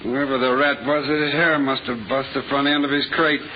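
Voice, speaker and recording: A man's voice: medium pitch, fairly even intonation, moderate pace, muffled recording, close to an everyday voice. A man playing a working-class morgue attendant in an old radio drama, talking in loose, rambling slang on a noisy old recording. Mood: grimly casual.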